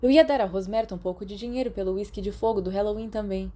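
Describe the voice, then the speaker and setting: A Brazilian woman narrator playing a chatty, eager teenage schoolboy in an audiobook, with lively, emphatic delivery. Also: medium pitch, fast talker, lightly acted. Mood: sleepy, casual. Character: eager, chatty teenage boy athlete and student. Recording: clearly noisy background.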